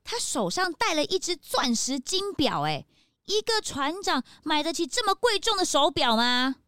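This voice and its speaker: A woman playing a detective's chatty, naive, excitable young sidekick in a children's audio drama. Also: high-pitched, moderate pace. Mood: suspicious. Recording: music behind the voice.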